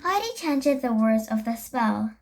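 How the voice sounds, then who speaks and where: A very young-sounding reader with a high voice reads a children's chapter book aloud, stumbling over words and correcting them. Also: very animated, measured pace. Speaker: storybook reader.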